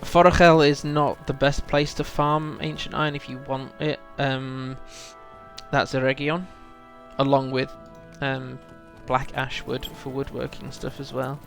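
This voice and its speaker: A man's voice: medium pitch, flat delivery, a little slow, gravelly, fairly bright tone, soft background music. A man chatting casually as he hosts a game livestream, rambling and thinking aloud to his chat while a bit under the weather with a cold. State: feels full of cold, often clearing throat.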